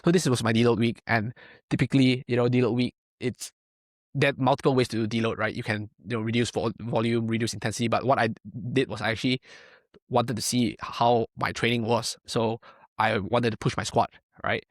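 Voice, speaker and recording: A man's voice: mid-range voice, flat delivery, a little fast, dry recording, clean studio sound. A young man from Malaysia, a powerlifting coach, talking casually in a podcast interview, filling his speech with "like" and "right?". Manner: rambling, many fillers and tag questions.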